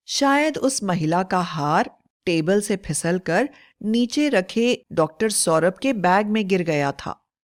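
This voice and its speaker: A woman narrating a scripted children's moral story as a storyteller. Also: mid-range voice.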